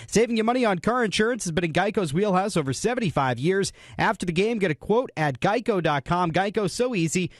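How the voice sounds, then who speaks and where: A man's voice: fairly high pitch, no echo. A man in a radio studio reading a scripted sports scoreboard update. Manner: crisp newsreader delivery.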